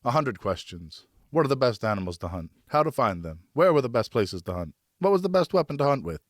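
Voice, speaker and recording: A man narrating a historical novel in the first person, with expressive, deliberate storytelling. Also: medium pitch, no room sound, studio quality. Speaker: audiobook narrator, professional voice actor.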